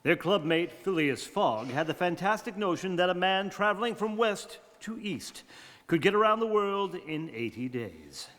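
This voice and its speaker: A man reads scripted radio drama narration. His voice sits high for a man and carries the long reverb of a hall. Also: expressive, moderate pace, fairly bright tone.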